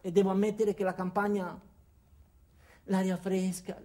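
An actor playing an earnest, insecure office man who narrates his own story in a deadpan, hesitant way in a comic radio drama. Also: high voice, normal speed, lightly acted. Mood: deadpan, rueful.

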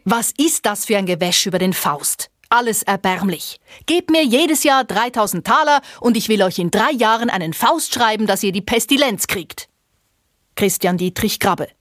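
A woman's voice: mid-range voice, lively intonation, moderate pace, fairly bright tone, dry recording, studio quality, lightly acted. A woman performing a scornful reciter of poets' insults in a literary radio feature.

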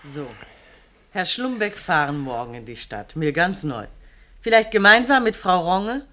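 Spiteful low-voiced village gossip, very animated. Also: female voice, measured pace. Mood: mocking, jealous. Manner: biting, mocking, emphatic. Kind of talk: German radio play acting.

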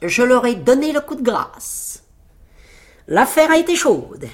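Woman playing a cocky little tailor, swooping high. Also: sing-song, a little slow. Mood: boastful. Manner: bragging, swaggering, theatrical. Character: cocky, boastful little male tailor, fairy-tale hero.

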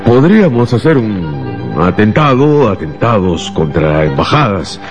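An actor playing a deferential, lazy secret agent in a radio drama, defensive and hesitant. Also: male voice, lowish voice, big pitch swings, a little slow, over music.

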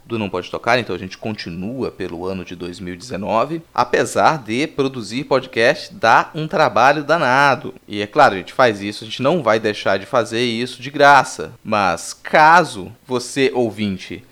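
A man's voice: medium pitch, moderate pace, few pauses, a bit bright. A man with a Brazilian accent hosting a podcast, talking in an informal, conversational way. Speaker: podcast host. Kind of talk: podcast sign-off and casual chat.

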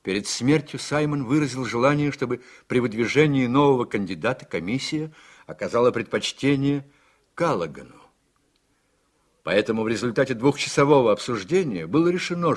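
A man with a husky voice narrating a radio play in a dramatic, scripted storytelling style. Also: mid-range voice.

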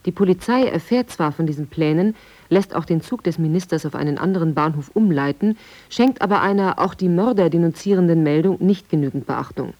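A woman with a deep, mellow voice reads from a script for a radio feature. She sounds formal and precise. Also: fairly quick, highs cut off. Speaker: reader of quoted press texts. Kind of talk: scripted reading.

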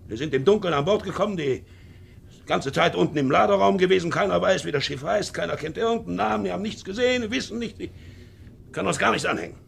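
Gravelly, brooding ship's captain. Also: male voice, high voice, fast, full character voice. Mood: agitated, contemptuous. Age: middle-aged. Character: shady, brooding middle-aged male ship's captain, callous and self-justifying. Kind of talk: 1950s radio drama acting. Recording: hissy 1950s radio recording.

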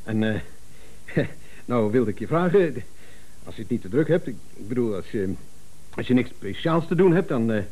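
An actor playing a timid, eager-to-please office subordinate in a 1970s Dutch radio comedy, stammering and deferential. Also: male voice, mid-range voice, very animated, normal speed, pauses often, a little mellow, low-quality recording. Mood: bashful, hesitant.

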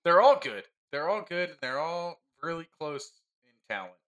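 Animated, bright-voiced male panelist. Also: a little high, normal speed, muffled recording. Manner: boastful, animated, laughs a lot. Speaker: panelist giving picks. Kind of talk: casual panel chat.

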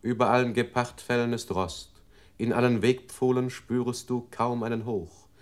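Casting: male actor as computer reciter, medium-pitched, formal and measured, for experimental 1960s radio drama. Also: steady tone, lightly acted. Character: computer memory voice reciting scrambled verse.

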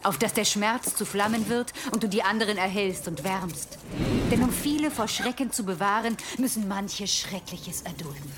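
A woman playing a solemn, fateful narrator in a radio drama, grave and measured, sometimes falling to a whisper. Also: medium pitch, lively intonation, heavy background noise, close to an everyday voice. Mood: solemn, foreboding.

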